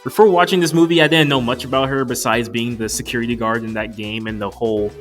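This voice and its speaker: A man's voice: mid-range voice, steady tone, quick pace, a bit bright. A young man giving a casual, scripted movie review commentary with a joking, casually profane manner. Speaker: video reviewer. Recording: music playing behind the voice. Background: background music.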